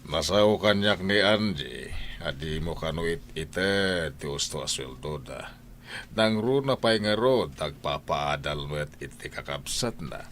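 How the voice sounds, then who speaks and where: A man's voice: very deep voice, animated, unhurried, fairly bright tone, slight background noise, exaggerated voice. A man with an Ilocano accent playing a firm, reproachful husband in a radio drama, admonishing his wife. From Philippines. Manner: admonishing, preachy.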